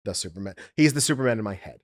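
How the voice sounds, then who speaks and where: A man's voice: fairly low pitch, expressive, very fast, dry recording. Enthusiastic man in a close, dry recording. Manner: enthusiastic, emphatic, repeats intensifiers. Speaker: audiobook producer in a discussion. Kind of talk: casual chat about favorite comics and films.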